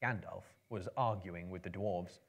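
A man in his thirties with a fairly low voice performs as a live storyteller. His delivery is measured and expressive, suited to a dramatic book reading. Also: gravelly, lo-fi sound. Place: indoor book-lined venue before a small live audience.

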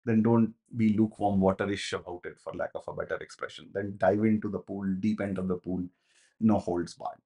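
A man, reviewing a film in casual, animated talk, with many fillers and restarts, in a small home studio. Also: on the low side, a little fast, husky. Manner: animated, gestures a lot, thinks aloud with many fillers and restarts. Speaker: movie reviewer.

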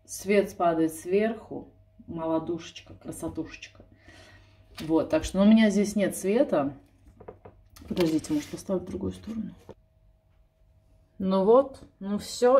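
A woman's voice: mid-range voice, darkish tone. A woman, chatting spontaneously and a little self-ironically to camera from her home kitchen. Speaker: vlogger. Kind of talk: spontaneous casual chat to camera. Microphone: camera or phone on the table.

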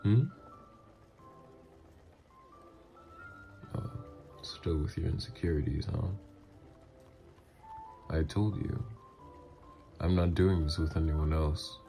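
Man playing a very deep-voiced, doting mafia lover. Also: fairly even intonation, slow, creaky, pauses often, mellow, full character voice. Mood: earnest, reassuring. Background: music.

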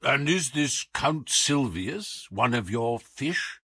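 A man reading an audiobook as a loyal, earnest doctor, concerned and halting, in a husky, gravelly voice. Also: mid-range voice, sing-song, pushed and bright, no echo, clean studio sound, exaggerated voice. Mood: anxious, earnest. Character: loyal, anxious, earnest male doctor, detective's companion.

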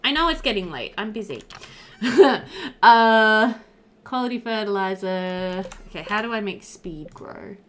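A woman in her thirties giving casual, chatty let's-play commentary, animated and thinking aloud. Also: mid-range voice, unhurried, slight background noise. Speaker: gaming video host. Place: indoor room.

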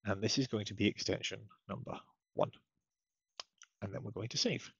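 A man narrating a step-by-step software tutorial in a methodical, explanatory way. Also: on the low side, moderate pace, vocal fry, husky, dry recording, dull audio. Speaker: technical support tutorial presenter.

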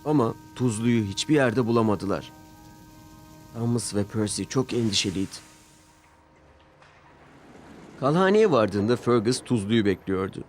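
Man narrating a kids story, lowish voice, really animated delivery, music behind him. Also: a little slow, long pauses. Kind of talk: scripted children's storytelling. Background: music under the voice.

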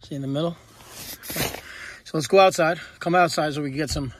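A man with a fairly high voice and practical, no-nonsense talk, filming himself on the job as an HVAC technician. He gives short instructions to a helper. A faint hiss sits under his voice. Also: fast. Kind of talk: on-the-job service call vlog. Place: house and yard, indoors and outdoors.

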